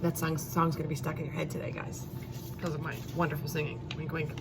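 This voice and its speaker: A woman teacher reading a children's story aloud, expressively, with drawn-out emphasis and questions and asides to her young pupils. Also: low-pitched, level tone, creaky, noisy background. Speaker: elementary school teacher.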